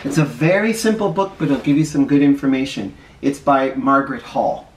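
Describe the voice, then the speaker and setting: A man's voice: mid-range voice, brisk. A middle-aged man teaching young children and reading a picture book aloud, explaining simply and stressing key words. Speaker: first grade teacher. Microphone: camera a short distance away. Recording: roomy, distant camera microphone.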